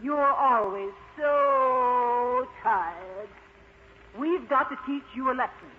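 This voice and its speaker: Guy doing a strict ghost schoolmarm, very high voice, scolding storybook style, muffled noisy recording. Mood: stern, scolding. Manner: strict, unforgiving, deliberate. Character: stern female ghost schoolteacher scolding a pupil. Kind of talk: storybook character voice in a puppet show. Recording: muffled lo-fi recording, faint noise.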